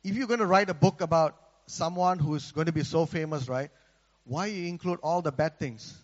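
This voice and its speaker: A man's voice: fairly high pitch, normal speed, long reverb tail, lo-fi sound. A man from Malaysia with a Malaysian accent, preaching a sermon in a conversational way, often asking the congregation for an amen. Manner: conversational, repeats words, asks the congregation for amen.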